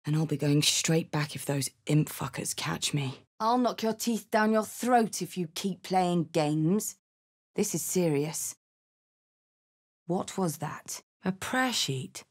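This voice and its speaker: Blunt, low-voiced tiefling warrior woman. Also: expressive, slow pace. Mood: blunt, combative. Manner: blunt, earthy, wry. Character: battle-hardened tiefling barbarian woman, blunt, hearty fighter. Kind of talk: video game character voice lines.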